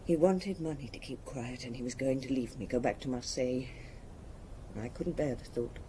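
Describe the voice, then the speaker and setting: Radio drama casting: weary, bitter rich murderess, forties, mellow and dark, resigned delivery, faint ambience behind. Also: female voice, rumbling low, moderate pace, slight background noise. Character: weary, bitter wealthy murderess, hard-drinking, around forty.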